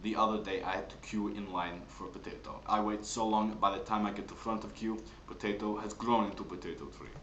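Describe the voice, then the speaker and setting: A man voicing an earnest Russian peasant, speaking slowly with a Russian accent and broken grammar. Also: lowish voice, fairly even intonation, a bit bright, reverberant, dull audio, slight background noise. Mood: wistful, earnest. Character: slow, earnest Russian peasant man spinning absurd hardship tales.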